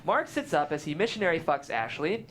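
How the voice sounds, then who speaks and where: A narrator reading stage directions aloud at a script table read, amused and lively. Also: mid-range voice, a little fast, a little hiss or hum.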